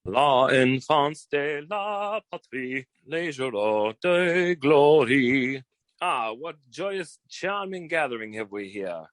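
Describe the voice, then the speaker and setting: Flamboyant French war hero, theatrical put-on French accent, mid pitch, florid drawl, radio comedy, dry close sound. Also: male voice, expressive, takes it slowly, no echo. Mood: grandly effusive. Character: flamboyant bumbling male agent posing as effusive French war hero. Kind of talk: old-time radio comedy acting.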